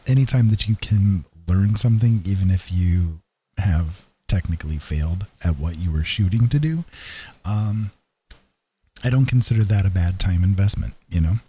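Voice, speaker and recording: A tired man hosting a livestream, chatting casually in a rambling, appreciative way with pauses. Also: low-pitched, dark tone, slightly rough. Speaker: livestream host, digital painter.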